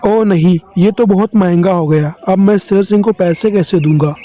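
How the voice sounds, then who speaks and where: Guy doing a poor hardworking village farmer for a cartoon, high mellow voice, earnest and a bit plaintive. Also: normal speed, close to an everyday voice. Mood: distressed.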